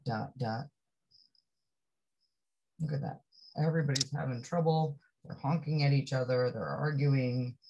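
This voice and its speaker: A woman reading a picture book aloud to young children, expressively, with drawn-out phrases and chatty asides that put questions to the listener. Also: sits low, a little mellow, slightly rough, low-quality recording. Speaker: storybook reader.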